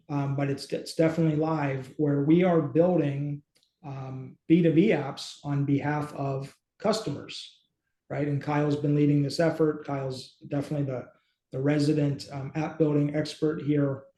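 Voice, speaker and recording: A middle-aged man with a fairly high, mellow voice talks through a screen share in a casual way. He thinks aloud and often halts mid-sentence. The sound is roomy, as from a video call in a small room. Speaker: host and app company team member. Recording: roomy, echoey home room.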